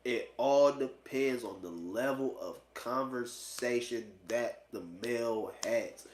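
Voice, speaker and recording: A man's voice: mid-range voice, takes it slowly. A man in his late twenties giving a blunt, slangy, profane opinion monologue, casually repeating phrases for emphasis. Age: late 20s. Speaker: vlogger giving dating opinions. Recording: echoey, roomy sound.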